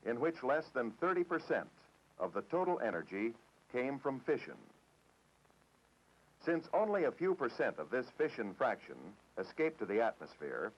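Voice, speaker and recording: Narrator: American man, formal matter-of-fact 1960s documentary read, strongly modulated mid-range pitch, lo-fi old film audio. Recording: dull, dated 1960s film soundtrack.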